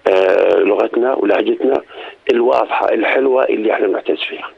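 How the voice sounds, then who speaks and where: Gravelly older Jordanian man on a phone line. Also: on the low side. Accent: Jordanian Arabic. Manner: fast, emphatic, gravelly delivery. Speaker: guest poet. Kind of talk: phone-in radio interview and poetry recital.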